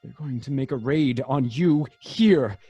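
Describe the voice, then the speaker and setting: An actor playing a scientist's worried, loyal friend in an old-time radio drama, with a husky voice and earnest, urgent delivery. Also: male voice, mid-range voice, measured pace, dark tone, dry recording, soft background music. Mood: anxious warning.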